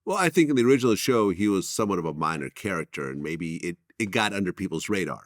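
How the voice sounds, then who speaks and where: An American man interviews in a fairly low voice with very animated intonation. His style is casual and conversational. The sound is dry, with little room in it. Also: fast talker, no room sound.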